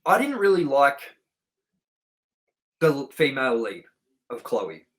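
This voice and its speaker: A man's voice: medium pitch, very animated, measured pace. A man co-hosting a film review show, chatting casually and enthusiastically with informal, sweary language.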